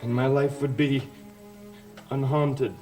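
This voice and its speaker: Music-backed drama, male actor playing tormented gentle man, slow, stammering, guilt-laden, gothic soap delivery. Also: medium pitch, steady tone, lightly acted. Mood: guilty, regretful. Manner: halting, stammering, confessional. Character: haunted, guilt-ridden gentle man of a cursed family. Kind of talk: gothic soap opera acting.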